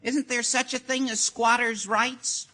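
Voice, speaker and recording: A man playing an earnest, excitable teenage boy with a cracking voice in a 1940s radio comedy. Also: extremely high pitch, a little slow, full character voice. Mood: pleading.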